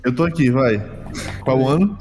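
A man's voice: mid-range voice, moderate pace. A man with a Brazilian Portuguese accent, a player in a tabletop game, talking in a bantering, teasing way.

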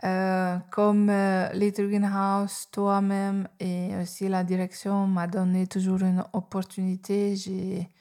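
Hungarian-accented woman guest in her forties, slow, halting, dark voice. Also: medium pitch, completely flat delivery. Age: 40s. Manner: hesitant, halting with many fillers and restarts. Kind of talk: podcast interview.

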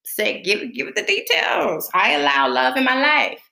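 A woman from the Bahamas who grew up in Florida, hosting a chatty solo podcast with slow, deliberate spoken affirmations and a colloquial way of talking. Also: high-pitched, big pitch swings, creaky, bright, forceful tone, echoey room. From born in the Bahamas, raised in Florida. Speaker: podcast host. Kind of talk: casual monologue with spoken affirmations.

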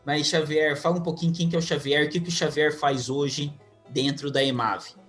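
A man's voice: fairly high pitch, steady tone, moderate pace, fairly bright tone, faint music behind. A man with a Brazilian accent hosting a podcast in an informal, chatty, conversational way. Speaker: podcast host. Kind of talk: conversational podcast introduction and interviewing.

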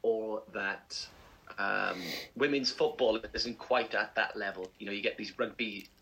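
A man co-hosting a casual podcast, chatty and animated, laughing often as he tells stories. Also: lowish voice, measured pace, gravelly, low-quality recording. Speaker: podcast co-host. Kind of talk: casual chat.

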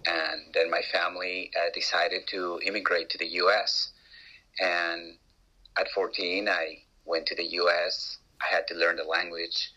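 Peruvian-accented man, bright voice. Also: sits low, flat delivery, a little slow, rough recording. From Peru. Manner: hesitant, many restarts and uh fillers. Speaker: guest. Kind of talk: interview storytelling.

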